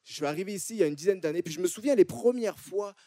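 Mellow Frenchman preaching a sermon. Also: sits high, expressive, racing, no room sound. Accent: French from France. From France. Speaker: church preacher. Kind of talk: sermon to a congregation.

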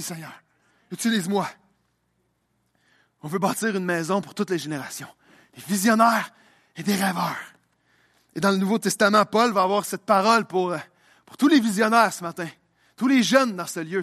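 A man's voice: high voice, pushed and bright. A young man preaching a sermon as pastor, repeating phrases as his delivery builds in intensity.

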